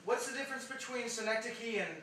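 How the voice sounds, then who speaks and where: A man's voice: extremely high pitch, steady tone, quick pace, sharp tone. A man on stage playing a cautious, pedantic writer who voices doubts in a comic play. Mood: doubtful. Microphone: distant recording of the stage. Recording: echoey theatre, distant mic, background noise.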